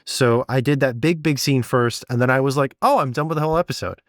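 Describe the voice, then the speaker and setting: A man co-hosts a casual podcast conversation. His medium-pitched voice is recorded dry, with no room sound. He speaks informally, doubling back on words as he thinks aloud. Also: quick pace, no echo. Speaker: podcast co-host and sound designer. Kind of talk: casual behind-the-scenes podcast chat.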